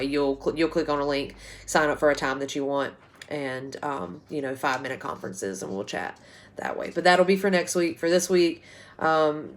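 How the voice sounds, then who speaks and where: A woman, a writing instructor recording a chatty, rambling class update at home, a little hoarse from a sore throat. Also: deep voice. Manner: chatty and rambling, many ums and restarts. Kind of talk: casual recorded class announcement. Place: small bedroom at home, indoors. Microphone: phone camera at arm's length.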